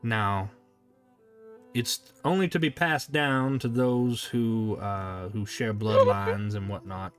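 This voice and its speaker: A man voicing a folksy old western man who speaks in a slow drawl about a family heirloom gun. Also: fairly low pitch, soft background music. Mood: grave.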